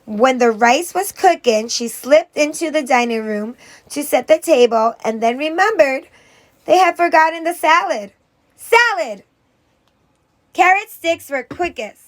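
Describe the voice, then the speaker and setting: A woman teacher reading a story aloud to children in an expressive narrating style, with dramatic emphasis. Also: high voice, normal speed. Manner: dramatic emphasis on exclamations and character lines.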